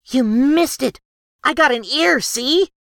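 A man's voice: huge pitch swings, moderate pace, a bit bright, no echo, studio quality, exaggerated voice. A man doing Brucklebaden, a rowdy female gnome. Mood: chatty. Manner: high, bubbly and boisterous. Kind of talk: audiobook character voice.

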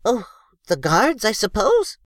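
A man voicing a timid, stubborn male gnome servant in a high, whiny voice. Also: huge pitch swings, takes it slowly, fairly bright tone, no room sound, studio quality, heavily acted. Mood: fretful.

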